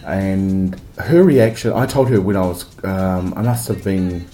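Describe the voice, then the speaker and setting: A man with a low, warm voice, gone husky from a cold, with the odd cough breaking through. He tells personal stories candidly, giggling and restarting his sentences as he goes. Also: measured pace, soft background music. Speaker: podcast co-host. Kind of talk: casual chat.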